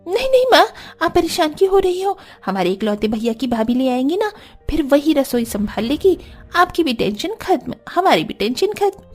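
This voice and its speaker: A woman's voice: sits high, big pitch swings, normal speed. A woman voicing a whiny, pleading, dramatic young daughter in a cartoon. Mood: whiny, coaxing. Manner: pleading, whining.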